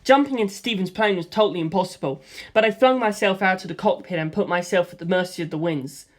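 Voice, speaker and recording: A man reading a first-person action story aloud in a lively way, voicing gunfire sound effects himself. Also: high voice, fast talker. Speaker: audiobook reader.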